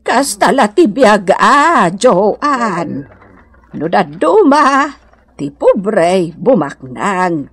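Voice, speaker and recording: A woman's voice: mid-range voice, big pitch swings, very slow, fairly bright tone, breathy edge, full character voice. An actress playing a principled, moralizing mother in a radio drama, with a northern Philippine accent. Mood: earnest, moralizing. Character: plain, principled mother who values honest hard work. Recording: music behind the voice.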